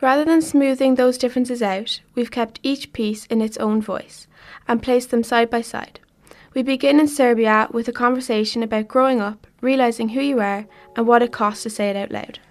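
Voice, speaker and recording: A woman giving a calm, measured scripted introduction as the programme's narrator. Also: a little high. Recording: faint music under voice. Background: faint music.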